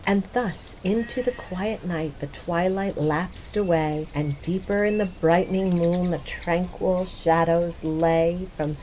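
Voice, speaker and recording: A woman reciting poetry in a measured, lyrical and expressive manner. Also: fairly low pitch, a little hiss or hum, rough recording. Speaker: poetry reader.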